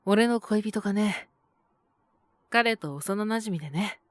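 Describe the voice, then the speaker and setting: A woman voicing a calm, protective male owner who talks in a gentle, measured, softly teasing way in an audio drama. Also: mid-range voice, no echo, lightly acted. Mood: approving. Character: calm, protective adult male bar owner mentoring a youth. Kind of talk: Japanese situational audio drama acting.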